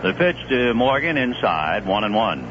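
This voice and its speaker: A man calling a baseball game live on the radio, swinging from calm analysis between pitches to rising excitement on big plays, in a 1970s broadcast. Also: normal speed, highs cut off, background noise. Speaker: play-by-play announcer. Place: stadium broadcast booth.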